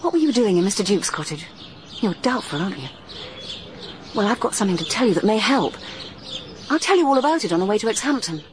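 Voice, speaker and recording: A woman playing a brisk girl sleuth. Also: mid-range voice, sing-song, noisy background, natural voice. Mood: determined. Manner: crisp and insistent. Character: brisk, determined young amateur sleuth woman.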